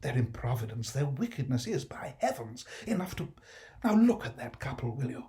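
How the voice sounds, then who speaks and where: A man playing a fussy, indignant economist who scolds in sharp high outbursts. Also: very animated, moderate pace, close to an everyday voice.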